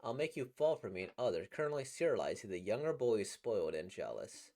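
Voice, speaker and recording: A man with a slightly squeaky, trebly voice, a voice actor casually reacting to comics in a rambling, playful way. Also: very animated, a little fast, darkish tone. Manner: rambling, playful, laughs at his own jokes.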